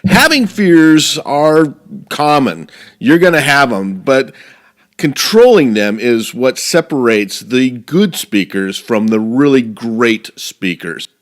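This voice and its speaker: A man's voice: mid-range voice, big pitch swings, slow. A man giving an earnest speech to a speaking club, often restarting his phrases. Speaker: professional speaker.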